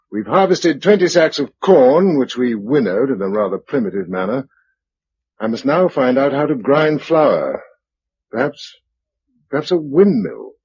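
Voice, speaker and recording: An actor playing a practical, dryly humorous husband who keeps a journal after the apocalypse, in British radio drama style with measured, reflective delivery. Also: male voice, mid-range voice, a little mellow, breathy edge. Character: practical, wry husband keeping a survival journal in a post-apocalyptic world. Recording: dull, lo-fi radio drama recording.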